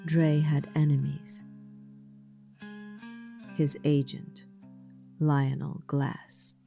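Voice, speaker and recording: A guarded murder suspect played by a woman talks slowly in a low, dark voice. She sounds grieving and subdued, with faint guitar music behind her. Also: level tone, vocal fry, full character voice. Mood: guarded, sorrowful. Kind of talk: noir audio drama acting.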